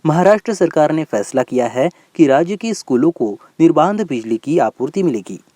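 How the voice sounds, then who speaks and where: A man's voice: fairly high pitch, animated, brisk, mellow, dry recording, studio quality. A man reading a scripted news bulletin as its presenter.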